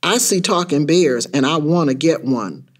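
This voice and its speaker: A man playing an excitable, bewildered young boy in a rhyming dramatic story, speaking in a childlike, insistent way. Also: a little high, normal speed, husky. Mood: excited, playful. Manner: childlike, insistent, repeated questions. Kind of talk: dramatic spoken-word storytelling in rhyme.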